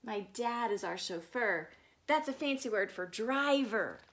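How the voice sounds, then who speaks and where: A woman's voice: on the high side, lively intonation, unhurried, a bit bright. A woman voicing an enthusiastic little girl from a storybook who loves all things fancy, speaking dramatically and explaining grand words. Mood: excited.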